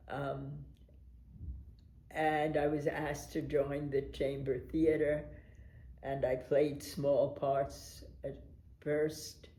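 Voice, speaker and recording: An older American woman, a veteran stage actress, reminiscing in a documentary interview, animated and laughing often as she tells her stories. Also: deep voice, echoey room. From United States.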